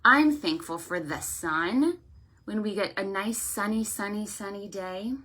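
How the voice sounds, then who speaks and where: Slow-paced woman hosting children's storytime. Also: mid-range voice. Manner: animated, sing-song, encouraging child-directed talk with frequent check-in questions; affectionate, effusive greetings and thanks. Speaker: children's library storytime host. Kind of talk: children's storytime, talking to young viewers.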